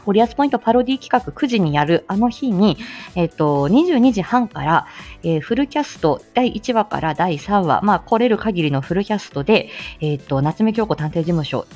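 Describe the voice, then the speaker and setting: Lively middle-aged woman chatting over soft music. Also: mid-range voice, brisk. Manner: chatty, giggly, polite, speaks to listeners by turns. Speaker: host of a live audio show; speech-language therapist. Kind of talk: casual morning livestream chat with announcements.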